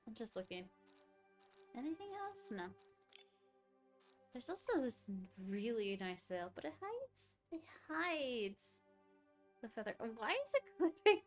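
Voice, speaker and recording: A woman's voice: on the high side, big pitch swings, takes it slowly, pauses often, faint music behind. A woman game streamer giving casual live commentary, musing aloud to herself as she plays.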